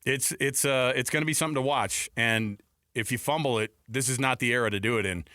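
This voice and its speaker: An American man hosting conversational sports talk radio, thinking aloud with halting repeats on a close studio mic. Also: medium pitch, bright, forceful tone, no echo. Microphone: close studio broadcast mic.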